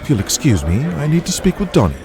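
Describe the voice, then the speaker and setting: A man voicing a polite, smooth-talking British wrestling office aide in an audio drama, formal and reassuring. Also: fairly high pitch, sing-song, quick pace, crowd noise, pitched above the performer's own voice. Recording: noticeable background noise.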